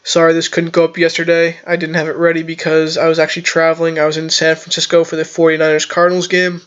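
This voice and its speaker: Flat-voiced young man, a bit sick. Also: a little high, fast, clean tone. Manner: analytical, rambling with restarts. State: sick, slightly off voice. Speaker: fantasy football content creator. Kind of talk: casual lineup review monologue. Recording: slightly dull lo-fi audio.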